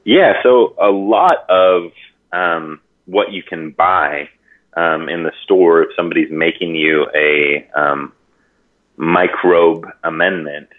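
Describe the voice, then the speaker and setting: A man from Hawaii explaining things casually and haltingly, pausing often mid-sentence, over a call. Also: low voice. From Hawaii, United States. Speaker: interview guest, natural farming expert. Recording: narrow tinny phone-like line.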